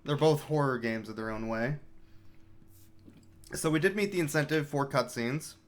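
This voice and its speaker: A man giving relaxed, chatty live commentary on his speedrun, explaining strategy as he plays. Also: on the high side, normal speed.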